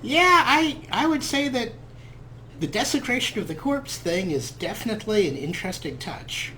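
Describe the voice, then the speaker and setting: A man's voice: sits high, lively intonation, normal speed, pushed and bright, a little hiss or hum. A man hosting a let's play with wry, amused, casual commentary.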